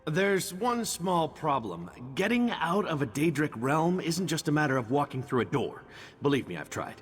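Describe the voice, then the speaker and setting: An actor playing a cocky, flippant knight champion in a fantasy video game, with a wry, glib, theatrical delivery. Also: male voice, fairly high pitch, big pitch swings, normal speed. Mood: explaining, exasperated. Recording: long reverb over background music.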